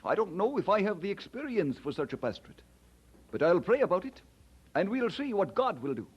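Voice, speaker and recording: An actor playing an earnest, kindly, humble preacher in a radio drama, speaking with courteous sincerity. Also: male voice, sits high, very animated, fairly quick, breathy edge, heavily acted. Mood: thoughtful, concerned. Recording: dull old radio drama recording.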